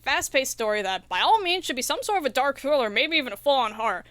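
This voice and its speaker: A woman's voice: high voice, expressive, quick pace, fairly bright tone, dry recording. A woman speaking as a podcast guest and indie developer, chatting casually in an enthusiastic, earnest way. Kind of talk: casual interview chat.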